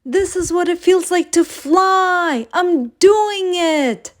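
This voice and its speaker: An eager little sleigh from a children's storybook , played by a woman reader. The voice is lifted high and sounds young, hopeful and earnest. It exclaims in an excitable way. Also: slow. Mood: proud, thrilled.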